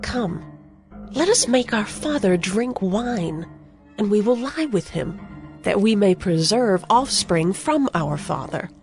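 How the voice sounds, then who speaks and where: A woman's voice: medium pitch, very animated, takes it slowly, faint music behind. A woman playing a young, scheming firstborn daughter, persuasive and conspiratorial, in a dramatized scripture reading. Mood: conspiratorial urging.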